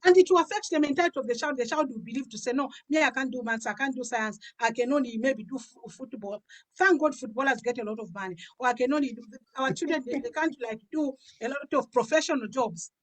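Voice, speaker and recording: A woman's voice: sits high, quick pace, rough recording. A woman. She gives a personal testimony conversationally, like a pastor sharing her own story. She repeats phrases to drive her points home. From Africa. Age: 50s. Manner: emphatic, repeats phrases for stress. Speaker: pastor giving a health and business testimony.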